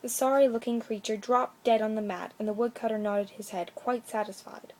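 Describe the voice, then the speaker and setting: A woman's voice: a little high, fairly even intonation, brisk, a little mellow. A woman storyteller reading a children's bedtime story aloud with expression.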